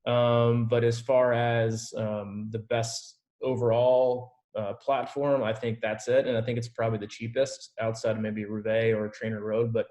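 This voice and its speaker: A man's voice: mid-range voice, flat delivery, moderate pace, few pauses, a little mellow. A man working as a triathlon coach, informally and reassuringly answering team questions on a video call.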